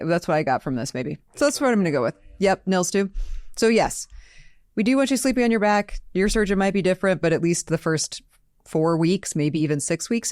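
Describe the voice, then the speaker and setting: A woman's voice: low voice, a little mellow, no echo, studio quality. An American woman co-hosting a health Q&A podcast, chatty and explanatory in a conversational style. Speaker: podcast co-host and nutritional therapy practitioner.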